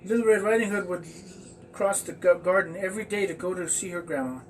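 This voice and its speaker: A man telling a children's story aloud in a halting, stumbling way. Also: high voice. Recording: distant mic with faint background noise.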